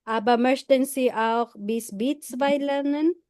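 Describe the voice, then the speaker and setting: A woman's voice: high-pitched, slow, darkish tone, clean tone, no room sound, studio quality. A woman teaching German, patiently rephrasing her questions and switching to Tagalog to help a student. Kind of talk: classroom questioning.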